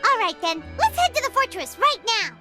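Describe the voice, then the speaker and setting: Squeaky, very high childlike fairy companion. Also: female voice, very animated, moderate pace, pushed and bright, faint music behind, exaggerated voice. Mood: eager. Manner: exclamatory, refers to self in third person. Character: small excitable floating fairy companion, childlike, feisty. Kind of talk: video game voice acting.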